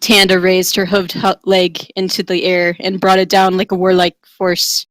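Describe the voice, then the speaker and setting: A woman's voice: on the low side, completely flat delivery, normal speed, a bit bright, no room sound. A woman giving a theatrical, tongue-in-cheek dramatic reading of a fantasy romance. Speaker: reader.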